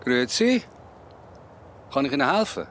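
Casting: man playing old Swiss German caretaker, animated and chatty with an eerie edge, radio play, reverberant hall. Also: mid-range voice, moderate pace, close to an everyday voice. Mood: helpful, brisk. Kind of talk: audio drama acting. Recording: echoing hall, faint background noise.

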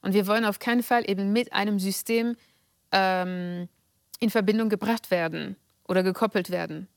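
A woman from France speaking German with a French accent, giving measured, hesitant interview answers. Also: medium pitch, fairly even intonation, gravelly, no echo, clean studio sound. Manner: measured and deliberate, with frequent hesitations. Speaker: guest.

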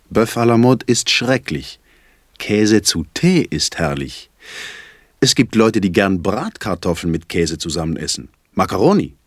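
A man performing a self-ironic, musing poet in a theatrical literary recitation, speaking in a deliberate, wry, articulate way. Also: sits low, no room sound, clean studio sound, full character voice.

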